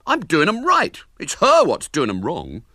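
A man voicing an indignant, boastful young boy who talks in rough, ungrammatical speech. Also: on the high side, wildly swooping pitch, measured pace, pushed and bright, no echo, clean studio sound, voice pushed up, exaggerated voice. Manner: indignant, cheeky, common speech.

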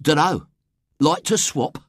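Man putting on a scornful, high-voiced working-class boy. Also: lively intonation, takes it slowly, husky, no room sound, clean studio sound, full character voice. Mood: blunt, offhand. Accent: working-class English. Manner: offhand, disdainful. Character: scornful, blunt poor boy in a big cap.

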